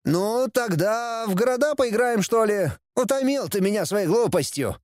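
A man's voice: sits high, big pitch swings, normal speed, a little mellow, no echo, studio quality, pitched above the performer's own voice. A man voicing a bratty young demon prince in a storybook reading, with an insolent, whining, taunting manner. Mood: smug, mocking. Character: bratty, cheeky young demon prince, mocking his father.

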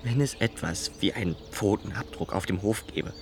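An actor playing an earnest, doubting official inspector in a radio play, speaking in a formal, wordy, insistent way. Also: male voice, on the low side, moderate pace, noisy background, natural voice. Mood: searching. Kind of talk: German radio play acting.

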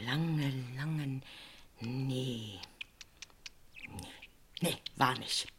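A woman's voice: extremely low pitch, very slow, husky, full character voice. An actress playing a brash, chatty cleaning woman with a Berlin accent in a German radio crime drama. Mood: plain-spoken, pondering. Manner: brash, colloquial, wry. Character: cheeky, chatty working-class cleaning woman, plain-spoken.

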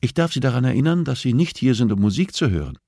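A man's voice: mid-range voice, very animated, fast talker, creaky, dark tone, dry recording, clean studio sound, pitched above the performer's own voice. A man voicing a composed, firm female prison therapist who speaks politely and insistently, as audiobook dialogue.

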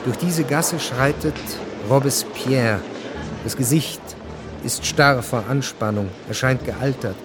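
A man's voice: mid-range voice, slow, over music, close to an everyday voice. A man narrating a scripted radio play in an urgent, reporting manner. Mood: intent. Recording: loud crowd and noise behind.

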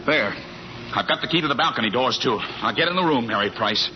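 An actor plays a flustered, exasperated man in a 1940s radio comedy. His medium-pitched voice swings widely as he protests emphatically, on a dull, slightly noisy old recording. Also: animated, a little fast, natural voice. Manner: emphatic, stammering when flustered. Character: flustered, exasperated, loyal bachelor friend resisting seduction.

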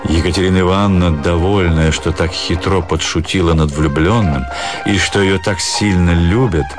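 A man with a deep voice narrates a literary story for radio. His phrasing is measured and expressive, and music plays clearly behind him.

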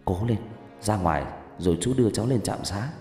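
A man's voice: on the low side, a little fast, a little mellow, lightly acted. A man playing a caring, anxious younger uncle figure in an audio story, speaking in an earnest, urging way. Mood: concerned. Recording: echoey long reverb, music behind.

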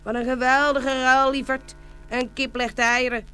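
A woman's voice: sits high, level tone, measured pace, bright, forceful tone, soft background music. A woman playing an adoring, ever-delighted farmer's wife in a children's fairy-tale audio play, gushing and affectionate. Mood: adoring, gleeful.

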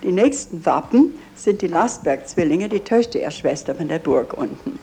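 Mellow, even-toned woman guiding visitors. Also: fairly low pitch, moderate pace. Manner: earnest, with slightly faulty grammar. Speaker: museum guide and owner of a memorial house. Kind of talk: guided tour talk.

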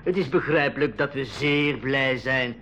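An actor playing a welcoming island guru in a school radio drama, speaking in a ceremonious, flowery way. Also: male voice, medium pitch, moderate pace, a bit bright, dull audio, a little hiss or hum, lightly acted. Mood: warmly formal. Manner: ceremonious, flowery, hospitable speech.

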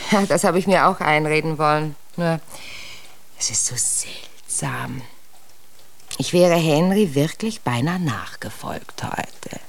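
A shaken widow in a radio play. Her voice sits fairly low, and she speaks haltingly, brooding and anxious, as if unsure of her own thoughts. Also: lively intonation, gravelly, exaggerated voice. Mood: uneasy, brooding. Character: anxious, shaken recent widow recounting narrow escapes. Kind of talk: German radio drama acting.

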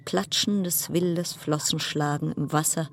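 An actress playing a haunted woman ship passenger in a literary radio drama monologue, lyrical and measured, repeating her phrases. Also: sits low, steady tone, gravelly, a little hiss or hum, heavily acted. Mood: eerie, measured. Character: haunted woman passenger recalling a deadly cruise ship voyage.

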